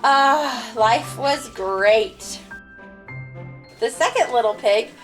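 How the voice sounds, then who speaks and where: A woman hosting and telling a children's story in an expressive storybook style. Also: fairly high pitch, extremely slow. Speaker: host and storyteller. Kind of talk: animated storybook narration for children. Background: music.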